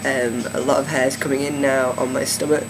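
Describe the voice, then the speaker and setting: Gravelly-voiced man, hesitant video diary. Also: mid-range voice, fairly quick, over music, poor audio. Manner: hesitant, many fillers and restarts. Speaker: vlogger. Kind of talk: casual video diary update. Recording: steady air conditioning hum.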